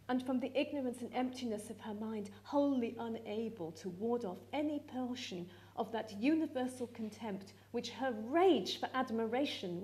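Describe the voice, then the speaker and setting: An earnest young gentlewoman, acted by a woman reader, pleading with her father. She is indignant and urgent, stressing her words with articulate care, as in a period storybook read aloud. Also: fairly high pitch, natural voice.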